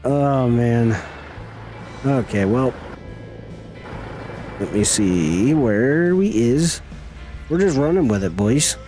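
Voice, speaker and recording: A man's voice: medium pitch, mellow. A middle-aged man chatting casually with viewers as he streams a game, folksy and teasing. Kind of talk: casual live-stream chat while gaming.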